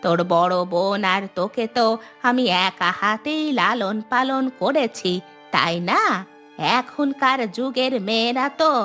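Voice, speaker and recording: A woman's voice: fairly high pitch, sharp tone. A woman voicing a hardworking, homely elderly village mother in a cartoon, given to grumbling explanations. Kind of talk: Bengali cartoon story dialogue.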